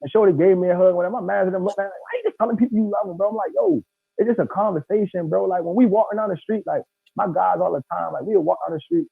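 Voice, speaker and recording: Man, American accent, quick, husky, slangy and emphatic, thin phone-like call audio. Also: sits high, no echo. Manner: emphatic, slangy, often says "bro". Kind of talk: casual, animated discussion. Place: indoor room, video call.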